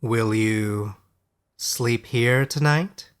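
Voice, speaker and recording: A man voicing a scholarly male wizard in a fairy-tale audiobook, formal, sincere and quietly resolute. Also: medium pitch, very slow, clean tone. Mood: tipsy, inviting.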